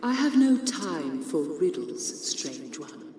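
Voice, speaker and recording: A man's voice: on the high side, sing-song, slow. A performer playing an aloof, cryptic female specter in a video game, speaking in a haughty, formal way. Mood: aloof, foreboding. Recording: echoing, distant, noisy ambience.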